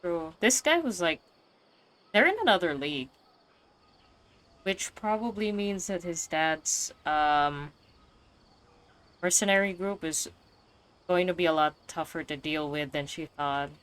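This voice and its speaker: A woman's voice: on the low side, expressive, unhurried, long pauses, a bit bright, clean tone. A woman giving casual playthrough commentary and reading game text aloud, slangy and joking. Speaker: game streamer.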